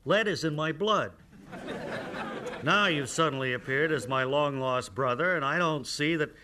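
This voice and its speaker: A man's voice: fairly high pitch, moderate pace, pushed and bright. A man playing a prim, disdainful executive. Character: stuffy young company president, prim and dry. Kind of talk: radio comedy sketch. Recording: old radio broadcast with hiss.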